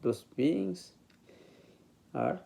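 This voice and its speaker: A man with a Bengali accent, speaking English as a later language, giving an informal spiritual talk about meditation, halting and searching for words. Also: a little high, huge pitch swings, creaky, mellow. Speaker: meditation teacher.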